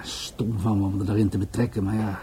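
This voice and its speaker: A husky, mellow-voiced police commissioner in a radio play. He is musing and world-weary, weighing things up in a deliberate, hesitant way. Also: fairly low pitch, level tone. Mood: musing, resigned. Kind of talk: Dutch radio play acting.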